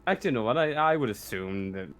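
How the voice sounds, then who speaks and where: A man running a tabletop game as game master, narrating casually in a halting, stammering way with frequent backtracking. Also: medium pitch, animated, fairly bright tone, clear, resonant tone.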